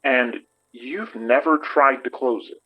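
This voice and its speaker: An actor playing a skeptical, sardonic detective in a horror audio drama, heard through a radio filter. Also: male voice, mid-range voice, slow pace. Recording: tinny, phone-like radio sound.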